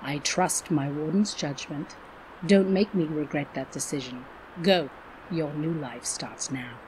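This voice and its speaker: A woman's voice: low-pitched, measured pace, darkish tone, slight background noise, low-quality recording. A woman playing a stern, commanding queen who speaks formally in an audio drama. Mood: stern, measured. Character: stern queen granting grudging mercy to released prisoners.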